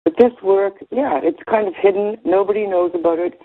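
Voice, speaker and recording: Female researcher on a thin telephone connection, plain measured explanations on a group call. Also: mid-range voice. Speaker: researcher calling in. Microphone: telephone conference call. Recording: tinny narrowband phone line.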